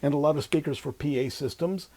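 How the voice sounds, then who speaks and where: Older man, creaky teaching voice. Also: on the high side, fast talker, dark tone. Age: 60s or older. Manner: informal, thinking aloud while drawing. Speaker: electronics instructor. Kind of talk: explanatory whiteboard lecture. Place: small indoor room at a whiteboard. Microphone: lapel mic.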